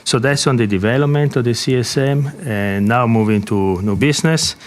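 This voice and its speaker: A man's voice: medium pitch, normal speed. A man explaining figures as an executive presenter to analysts, hesitating often and repeating words as he goes.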